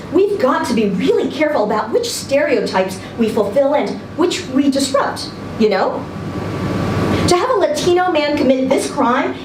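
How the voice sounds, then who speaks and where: A woman's voice: sits high, voice pushed up. A woman voicing a very serious, self-conscious young male student with a careful, rehearsed, hedging manner in a story reading. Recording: echoey noisy room, distant event video mic.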